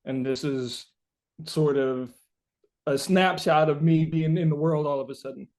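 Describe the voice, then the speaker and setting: Guy musician in a casual interview, slightly high voice, thinks out loud, lots of likes. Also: steady tone. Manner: halting, thinking aloud, frequent "like".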